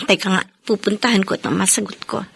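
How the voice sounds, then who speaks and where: A woman's voice: mid-range voice, steady tone, normal speed, vocal fry, very noisy, natural voice. A woman with a Filipino accent playing a long-suffering young single mother, earnest and emotional, in a radio drama. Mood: chatty.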